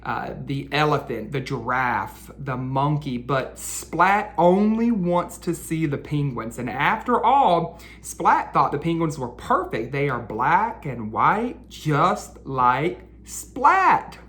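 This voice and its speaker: A man hosting a read-aloud for children, speaking directly to them with questions and drawn-out emphasis. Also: a little high, very animated, fairly bright tone. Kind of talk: children's picture-book read-aloud and chat.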